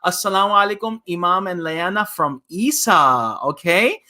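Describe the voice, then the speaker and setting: Man, children's story host, higher-pitched, bright sharp tone, playful, folksy, teacherly, casual live chat. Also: animated, moderate pace. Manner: playful, folksy, teacherly with repeated "okay?" check-ins. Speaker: imam hosting a children's story time.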